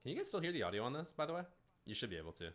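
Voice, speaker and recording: A male streamer talking through a live esports match, his pitch rising and falling animatedly. He slips between excited play-by-play and easygoing answers to his viewers, never stiff or scripted. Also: racing. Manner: lively play-by-play mixed with chat replies. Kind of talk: casual co-stream esports commentary.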